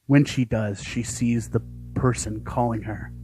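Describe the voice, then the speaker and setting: A man's voice: mid-range voice, fairly even intonation, unhurried, mellow, soft background music. A man reading out scene descriptions as scripted narration for a voice acting audition. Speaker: voice actor auditioning.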